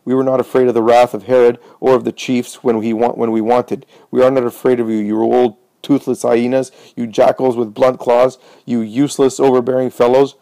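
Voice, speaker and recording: A man voicing a rowdy, indignant crowd of poor townsmen hurling shouted insults and cries. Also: high voice, sing-song, slow pace, vocal fry, hardly any pauses, dry recording, pitched above the performer's own voice, full character voice.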